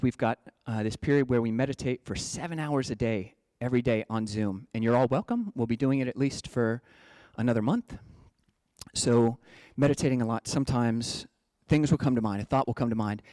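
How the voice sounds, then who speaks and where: A man's voice: medium pitch, warm tone, no room sound. A man from the United States, a Buddhist monk giving a casual, playful dharma talk. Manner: playful, chatty, breaks into joking slang voices.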